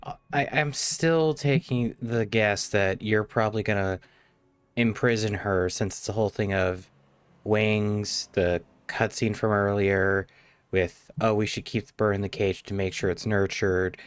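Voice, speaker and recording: A man streaming a game with casual running commentary. His voice is on the low side and very clean, recorded dry, with a blunt, sardonic edge. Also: fairly even intonation, measured pace, smooth, dry recording.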